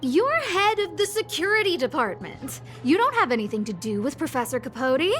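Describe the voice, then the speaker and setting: A woman's voice: high voice, very animated, normal speed, fairly bright tone, soft background music. A woman playing an earnest, theatrical young noblewoman boss in a video game. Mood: assertive, accusing. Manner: theatrical, emphatic, declarative. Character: earnest, dramatic young noblewoman boss, apologetic yet insistent.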